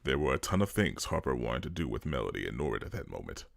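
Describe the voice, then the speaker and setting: A man's voice: rumbling low, sing-song, fast, vocal fry, dry recording. A man narrating a dramatic scripted story.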